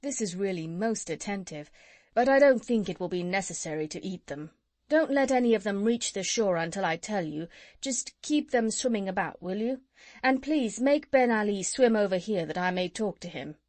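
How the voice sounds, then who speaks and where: A kindly animal doctor answers in a soft, polite, slightly lowered voice, as a woman reading a storybook puts him on. The sound is dry and close. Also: expressive, moderate pace, dry recording, natural voice. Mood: mild. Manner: mild, courteous, soft.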